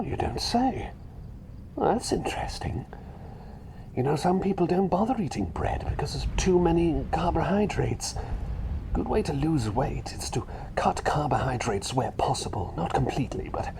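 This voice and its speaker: A man voicing a fussy, chatty little bird who is really a doctor, rambling and repeating himself politely in a hushed, breathy ASMR roleplay. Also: fairly high pitch, very animated, normal speed, a crowd in the background. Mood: contented, chatty. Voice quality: breathy, half-whispered.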